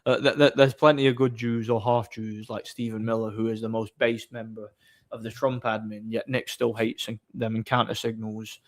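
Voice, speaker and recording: A man hosting a livestream, chatting casually and off the cuff in a blunt, opinionated, somewhat halting way. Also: fairly low pitch, flat delivery. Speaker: livestream host. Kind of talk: casual off-the-cuff chat, answering viewer comments.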